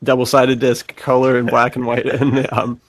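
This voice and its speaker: A man hosting a podcast in a casual, excitable chat full of laid-back bro slang. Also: medium pitch, moderate pace, no echo. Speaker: podcast host.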